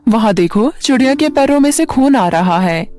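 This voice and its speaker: An eager, kind-hearted little schoolgirl from a storybook, voiced by a woman, speaks politely in a high, childlike voice. Music plays underneath. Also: animated, moderate pace, a little mellow, natural voice. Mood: concerned.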